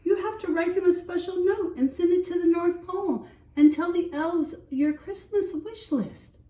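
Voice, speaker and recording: A woman's voice: very high voice, normal speed, warm tone, pitched above the performer's own voice. A woman voicing a young boy, a patient big brother in a storybook, coaxing and explaining. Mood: persuasive. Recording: roomy echo, distant from mic.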